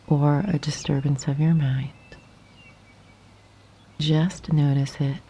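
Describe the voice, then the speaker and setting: A woman guiding a yoga nidra meditation in a soft, breathy half-whisper, gently and calmly, with long pauses. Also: sits low. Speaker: meditation guide. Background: birdsong and nature sounds.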